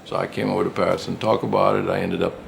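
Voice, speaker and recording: A man's voice: sits low, little pitch movement, racing, vocal fry, slight background noise. An older man, a retired professor and writer, reminiscing casually in an interview, rambling and repeating phrases for emphasis.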